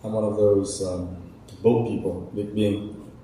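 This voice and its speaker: Low, very mellow man in echoey hall. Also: flat delivery, a little slow. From Vietnam, left at six. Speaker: panel speaker. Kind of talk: casual self-introduction. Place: echoey indoor community event space. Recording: echoey hall, distant mic, faint noise.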